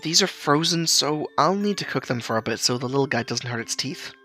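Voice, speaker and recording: A man's voice: medium pitch, expressive, a little fast, sharp tone, soft background music. A man voicing a caring, practical young woman in an audio story.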